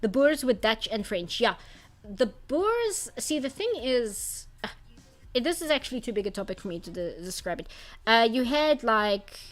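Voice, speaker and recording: A woman's voice: fairly high pitch, very animated, moderate pace, soft background music. A woman from South Africa with a South African accent, whose first language is Afrikaans, chatting casually on a live art stream, rambling with restarts and frequent laughs. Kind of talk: casual live-stream chat, reading chat aloud.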